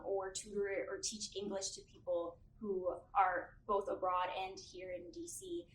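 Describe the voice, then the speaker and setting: A young woman, a university student, talking earnestly and reflectively in a candid panel discussion. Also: medium pitch. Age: young adult. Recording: roomy, distant mic, faint noise.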